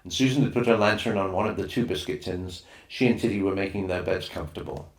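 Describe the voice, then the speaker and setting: Low-voiced man reading, in a roomy space. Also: quick pace. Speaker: audiobook reader. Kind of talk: scripted narration of a children's novel. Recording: roomy, echoing room sound.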